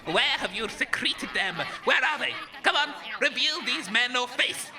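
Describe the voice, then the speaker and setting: Shrill, officious cult enforcer. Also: male voice, animated, normal speed, music in the background. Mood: commanding fury. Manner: shouty, pompous, officious. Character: officious, furious cult enforcer hunting fugitives. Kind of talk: comedy audio drama acting.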